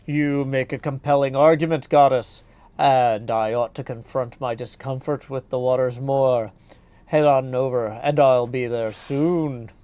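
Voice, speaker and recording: A man's voice: medium pitch, steady tone, measured pace, darkish tone, smooth, no room sound, natural voice. A man voicing a hearty, seasoned hero's shade in a video game, chatty and old-fashioned in his phrasing. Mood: candid, hesitant.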